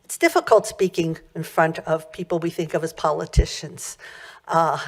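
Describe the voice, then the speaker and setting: A woman with a loud voice speaking at a township meeting's public comment, rambling earnestly with many fillers and restarts into a podium microphone. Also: sits low, normal speed, gravelly.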